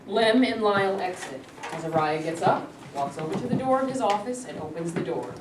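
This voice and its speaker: A woman's voice: mid-range voice, expressive, fairly quick. A woman reading out stage directions as scripted narration at a staged play reading. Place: live staged reading in a room. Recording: echoey distant mic, clear noise.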